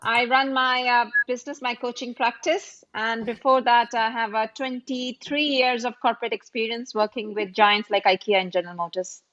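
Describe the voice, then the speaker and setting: High-pitched lady from the uk, coach in her 40s, dull muffled phone audio. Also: steady tone, moderate pace, few pauses, fairly bright tone. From United Kingdom. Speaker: business coach. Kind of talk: casual chat on a video call.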